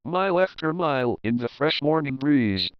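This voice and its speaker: A man narrating a rhyming children's story as an expressive, theatrical read-aloud with deliberate phrasing. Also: mid-range voice, highs cut off.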